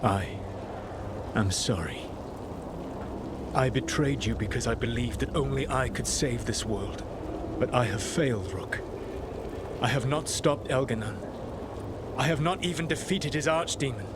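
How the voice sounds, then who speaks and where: A man plays a proud old trickster mage, solemn and full of regret, in a game cutscene. The words are measured and formal, in a mid-pitched voice. A loud rumbling ambience sits behind it. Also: very noisy, lightly acted. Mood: remorseful. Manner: measured, formal, weighty phrasing. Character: solemn, remorseful ancient male trickster mage, proud and grave. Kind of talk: dramatic video game cutscene acting.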